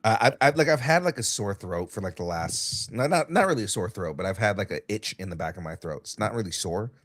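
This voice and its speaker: A young man hosting a casual podcast, excitable and swearing freely, with a light cold and a slight cough. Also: fairly low pitch, a little fast, no room sound. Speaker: podcast host.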